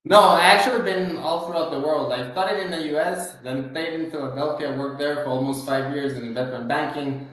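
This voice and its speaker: A man from Guatemala giving conversational interview answers as a guest, halting often with hesitations and repeated words. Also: fairly high pitch, a bit bright. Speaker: interview guest, fund manager. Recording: very echoey, reverberant room.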